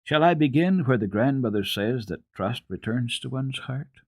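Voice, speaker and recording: An earnest, trusting little girl from a children's storybook, acted out by a man, speaking with simple, solemn assurance. The voice is husky and recorded very dry, with little room around it. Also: mid-range voice, animated, normal speed, a little mellow, no room sound, voice pushed up. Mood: asking.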